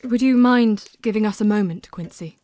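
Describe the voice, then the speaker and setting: A woman's voice: medium pitch, moderate pace, dark tone, natural voice. A woman acting in an audio drama as a resolute, grieving young Victorian woman who speaks earnestly and formally. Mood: determined.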